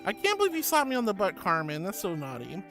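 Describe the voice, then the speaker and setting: A woman's voice: medium pitch, wildly swooping pitch, fast. A woman streamer chatting casually with her viewers, reading their comments aloud and calling them darling, honey and sweetie with a playful, giggly manner. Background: background music.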